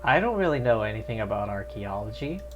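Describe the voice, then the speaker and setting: A woman's voice: very deep voice, sing-song, fast, muffled recording. A woman live streamer giving casual, wry, sardonic commentary while playing a game. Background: game music.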